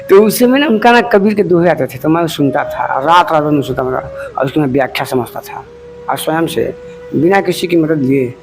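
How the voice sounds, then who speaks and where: High-voiced middle-aged man giving a rambling devotional talk. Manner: rambling, repeats himself, often addresses listeners as friends. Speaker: spiritual teacher explaining devotional songs. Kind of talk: informal devotional talk to viewers.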